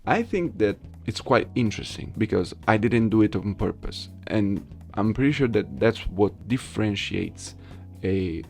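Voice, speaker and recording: A soft-spoken man talking casually and haltingly to his viewers as an amateur voice actor presenting a video. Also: lowish voice, vocal fry.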